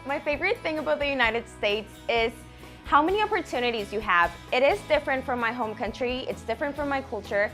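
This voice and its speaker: A woman's voice: high voice, expressive, a little fast, a bit bright, soft background music. A woman from Mexico, an international student, speaking earnestly in plain sentences. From Monterrey, Mexico.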